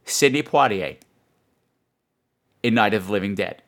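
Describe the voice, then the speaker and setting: A man with a very animated delivery hosts a show as a casual monologue. He rambles like a comic storyteller, tossing in sarcastic asides and salty language. His pitch moves around freely. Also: a little slow. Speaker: podcast host.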